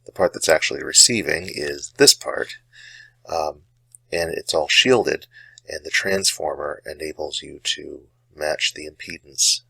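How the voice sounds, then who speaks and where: Male hobby host, extremely low-pitched with a bright edge, gravelly, casual and halting in his explaining. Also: dry recording, low-quality recording. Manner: halting, hesitant delivery with frequent pauses and trailing phrases.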